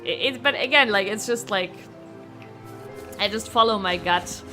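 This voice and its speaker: A woman chatting casually as a game streamer, in a rambling, animated way with casual swearing. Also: medium pitch, a little slow. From Germany. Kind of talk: casual live gameplay chat. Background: music.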